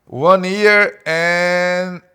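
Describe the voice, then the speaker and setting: A young man, a guest on a podcast, speaking in halting English with many repeated words and frequent laughs. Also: high voice, fairly even intonation, sharp tone, clear, resonant tone. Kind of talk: casual interview.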